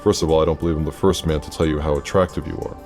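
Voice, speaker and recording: An actor playing a secretive, centuries-old immortal leader in an audio drama, speaking with measured, formal authority. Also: male voice, extremely low pitch, fairly even intonation, a little mellow, faint music behind. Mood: grave, businesslike.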